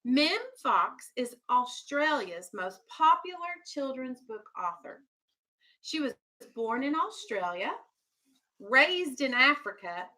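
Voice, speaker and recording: A woman's voice: on the high side, bright, forceful tone, poor audio. A woman teacher reading aloud to young children, explaining simply, asking questions and firmly keeping them on task. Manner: instructive, simple explanations, checks understanding with questions, firm with children. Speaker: elementary school teacher.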